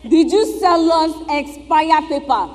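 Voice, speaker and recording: Casting: dramatic, aggrieved market trader, deliberate pace, theatrical, noisy reverberant hall. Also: female voice, high-pitched, darkish tone. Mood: indignant. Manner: exclamatory, deliberate. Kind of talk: theatrical stage-play acting. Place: theatre stage, picked up in the hall. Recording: echoey hall, noticeable background noise.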